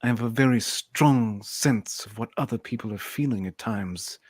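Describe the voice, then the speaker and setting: A woman playing a sultry, dreamy empath aboard a starship, halting and suggestive, in an amateur radio play. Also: rumbling low, a little mellow, dry recording. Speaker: podcaster acting in script reenactment.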